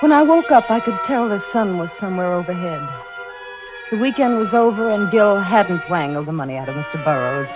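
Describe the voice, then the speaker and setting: A woman's voice: medium pitch, expressive, a little fast, lightly acted. A woman acting an anxious, suspicious wife in a 1940s-style radio drama, confiding as narrator and probing in dialogue. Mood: brooding, confiding. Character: anxious, suspicious wife of a broke resort owner. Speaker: lead actress and first-person narrator. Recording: muffled old radio recording with loud music.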